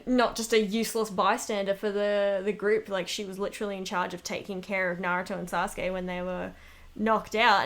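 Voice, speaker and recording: A woman's voice: medium pitch, a bit bright. A woman in a casual interview, talking as an amateur voice actress in a rambling, self-deprecating way.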